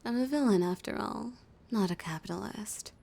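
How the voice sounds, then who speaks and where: A woman voicing a flirty, dominant female villain in an intimate audio roleplay, teasing and often dropping into a breathy whisper. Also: mid-range voice, normal speed, warm tone. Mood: flirty, pleased.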